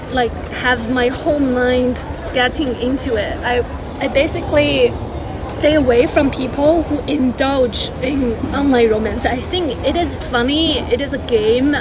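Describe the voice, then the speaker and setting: A woman talks into her phone in a busy airport, rambling and circling back with many fillers and restarts. Her voice sits a little high. Loud airport noise runs under everything she says. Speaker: vlogger. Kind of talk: rambling personal vlog.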